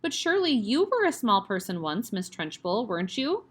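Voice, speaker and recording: A woman voicing a cheeky but nervous young schoolboy in a high voice. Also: sing-song, normal speed, fairly bright tone, breathy edge.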